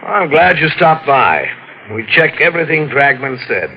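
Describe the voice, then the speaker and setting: An actor playing a calm, patient police detective in a 1940s radio drama, measured and reasonable, on a muffled old broadcast. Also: male voice, fairly high pitch, noisy background.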